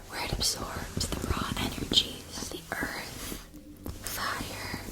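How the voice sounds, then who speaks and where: A woman voicing a calm, knowing witch-school wand seller in a whispered, measured and formal ASMR roleplay. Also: natural voice, poor audio. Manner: measured, deliberate, formal salesmanship.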